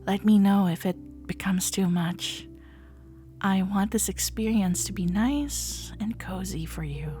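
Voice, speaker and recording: A woman playing an affectionate, gently teasing cuddler in ASMR roleplay audio, soft-spoken and often slipping into a breathy whisper. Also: medium pitch, a little slow, natural voice. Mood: soothing, teasing. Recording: soft cafe jazz music behind. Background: soft background music.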